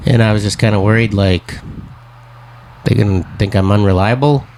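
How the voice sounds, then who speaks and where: A man co-hosting a podcast in casual chat, slightly raspy as he gets over the flu, rambling along in a wry, self-deprecating way. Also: on the low side, normal speed, darkish tone, slight background noise. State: getting over flu, occasional cough. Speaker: podcast co-host.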